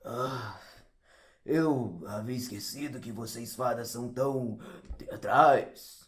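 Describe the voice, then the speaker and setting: A man's voice: mid-range voice, takes it slowly, lightly acted. A man playing the pompous, theatrical ghost of a scientist, telling his story formally in an audio drama. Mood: dramatic. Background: music.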